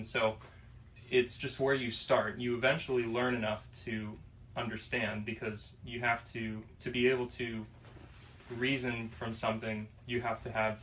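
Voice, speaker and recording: A man in his twenties from Florida, musing slowly on faith and choice in a casual discussion. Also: fairly low pitch, a bit bright. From Florida, USA. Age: 20s. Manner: slow, reflective, pauses mid-thought. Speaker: podcast guest. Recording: distant mic, faint room noise.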